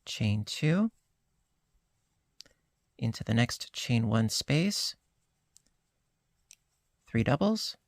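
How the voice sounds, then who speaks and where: Slow, gravelly, slightly hoarse crochet teacher. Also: medium pitch, dry recording, studio quality. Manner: calm, unhurried, talks through each stitch. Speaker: crochet instructor. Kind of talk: step-by-step crochet tutorial.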